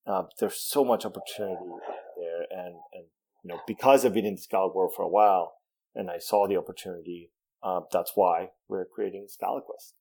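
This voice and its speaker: A man's voice: sits low, steady tone, a little fast, darkish tone, low-quality recording. A man from Venezuela whose first language is Spanish, a game developer explaining his project in a casual interview, thoughtful with frequent restarts. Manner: thoughtful, many restarts and fillers.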